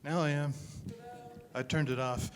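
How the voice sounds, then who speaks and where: A man's voice: fairly high pitch, fairly even intonation, unhurried. A man hosting a live event, making an informal announcement to the audience. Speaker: event host. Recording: distant, echoey hall with faint noise.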